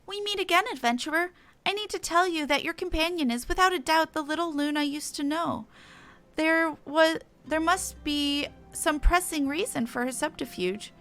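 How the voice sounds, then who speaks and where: Woman voicing an earnest high-pitched huntress. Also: moderate pace, a bit bright. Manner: earnest, formal.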